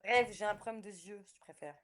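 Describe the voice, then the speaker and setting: A woman's voice: mid-range voice, fairly quick, crowd noise. Woman in her twenties, blunt and offhand, slangy, on a video call. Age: 20s. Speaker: video chat stranger. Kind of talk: casual chat.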